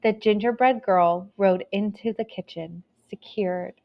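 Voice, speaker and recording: A woman's voice: mid-range voice, unhurried, creaky, dull audio. A woman narrating a children's story expressively, as if reading a picture book aloud.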